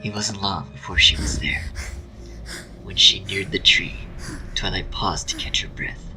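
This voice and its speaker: Low, whispery male story narrator. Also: steady tone, a little slow, sharp tone, faint music behind, low-quality recording. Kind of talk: scripted dramatic story narration.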